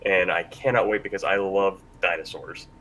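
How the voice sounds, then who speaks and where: A man's voice: steady tone, normal speed, a bit bright, rough recording. A man hosting a casual panel discussion, speaking in a hesitant, self-deprecating way. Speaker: panel moderator. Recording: constant rumbling background noise.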